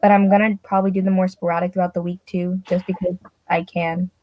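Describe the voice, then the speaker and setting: A woman's voice: fairly low pitch, level tone, fast talker, lo-fi sound. A young woman, a book vlogger, chatting casually in a rambling, self-deprecating way with many fillers. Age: young adult. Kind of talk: casual chat.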